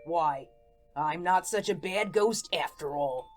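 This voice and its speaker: A woman's voice: lowish voice, soft background music. A woman playing a plainspoken, vengeful ghost who tells his tale in a folksy, colloquial way in an audio drama. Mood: wondering. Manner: folksy, colloquial, deliberate storytelling. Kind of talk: first-person audio drama narration.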